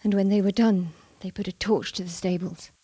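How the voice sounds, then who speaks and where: A woman playing a grieving, bitter medieval widow in a video game, speaking in a halting, sorrowful way. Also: mid-range voice, fairly even intonation, mellow, slightly rough, no echo, natural voice. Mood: stricken, grieving. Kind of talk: dramatic video game acting.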